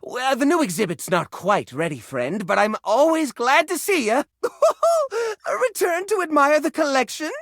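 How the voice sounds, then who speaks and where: A fussy, proud junk drawer come to life as a game character. His pitch swings widely and excitably through theatrical, quaint exclamations. The voice has a creaky edge and is recorded close and dry. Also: a little slow, no echo, heavily acted. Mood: proud, chatty. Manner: theatrical, folksy old-fashioned exclamations. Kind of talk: video game character acting.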